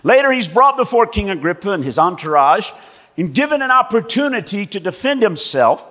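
A man preaching a sermon as pastor and missionary, telling stories with animated delivery and acting out the people in them. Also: sits high, a little fast. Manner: animated storytelling preacher, acts out voices in quotes. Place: church. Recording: long reverb of a church hall.

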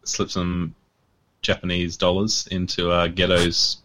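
A man co-hosting a podcast, talking in a laid-back, monotone way over a video call. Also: sits low, measured pace, rough recording. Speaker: podcast co-host. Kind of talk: casual banter.

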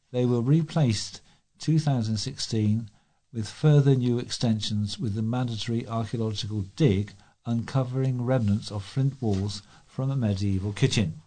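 A man reading a scripted local history piece aloud as a volunteer news reader, in a measured, deliberate manner. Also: medium pitch, a little mellow.

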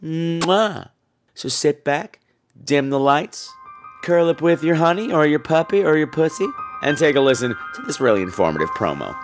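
Hoarse, gravelly campy male podcast host. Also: on the high side, animated, soft background music. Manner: campy, playful, theatrical drawn-out words. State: hoarse with laryngitis. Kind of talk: casual, campy podcast chat.

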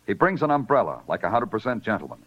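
An American actor playing a world-weary, hard-boiled New York detective in a 1950s radio crime drama, terse and clipped. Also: male voice, lowish voice, very animated, quick pace, fairly bright tone, a little hiss or hum. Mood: accusing, hard. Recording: dull vintage radio recording, music behind.

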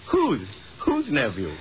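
An actor playing a talkative, cynical Russian government official in a 1940s radio horror drama, wry and exclamatory. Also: male voice, high-pitched, heavily acted. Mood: baffled, scornful. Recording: muffled old 1940s radio recording, hiss.

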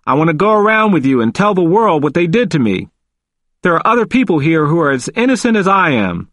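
A humorous middle-aged man just freed from death row, as a male narrator puts him on, with a playful, emphatic drawl. His voice sits noticeably higher than the narrator's own and rises and falls freely. The audiobook recording is dry and close. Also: sing-song, a little fast, a bit bright, no room sound. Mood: hopeful.

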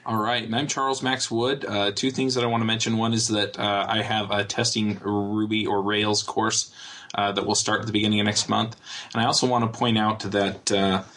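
A man hosting a casual panel podcast, talking in a relaxed, rambling way with filler words. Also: on the low side, normal speed, a little hiss or hum. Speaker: podcast host.

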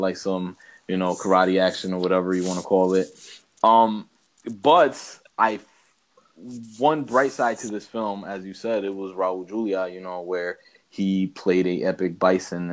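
A man with a fairly low voice co-hosts a casual podcast. He talks in slangy, blunt banter, often working out his thoughts aloud. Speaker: podcast co-host. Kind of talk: casual banter, ranting movie review.

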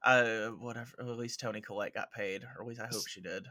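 Very sharp, forceful male podcast guest. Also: on the low side, steady tone, a little fast, no echo, clean studio sound. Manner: blunt, sardonic, mildly sweary. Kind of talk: casual, opinionated banter.

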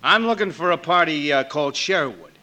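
An actor playing a cocky, flirtatious newspaper reporter in 1940s radio comedy style, blunt and slangy. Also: male voice, a little high, lively intonation, a little fast, pushed and bright.

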